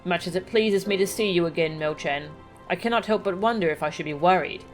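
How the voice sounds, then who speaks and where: Woman putting on a low, solemn settlement leader. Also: fairly quick, soft background music, close to an everyday voice, low-quality recording. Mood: wary, solemn. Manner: solemn, formal. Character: grave, dignified, wary settlement leader. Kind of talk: reading video game dialogue aloud.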